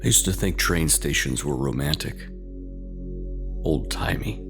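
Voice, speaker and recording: Male horror storyteller, extremely low register, unhurried and suspenseful delivery over a prominent ambient music bed. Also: expressive. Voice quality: very deep, gravelly. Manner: suspenseful, deliberate, dramatic. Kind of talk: slow, eerie scripted first-person horror storytelling.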